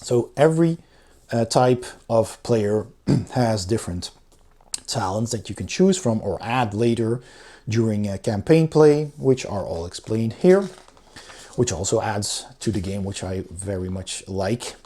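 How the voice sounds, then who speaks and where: Mellow-voiced man casually explaining. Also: fairly low pitch, measured pace. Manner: conversational, explains step by step. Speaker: board game reviewer and channel host. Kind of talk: casual explanatory review voice-over.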